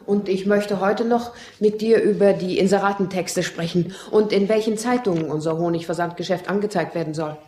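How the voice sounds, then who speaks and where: A soft-toned, mellow female voice in a German radio drama portrays a capable wife worried about her drinking husband , played by an actress. She speaks earnestly and anxiously, pressing her point with insistence. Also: mid-range voice, brisk, hardly any pauses, close to an everyday voice. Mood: businesslike.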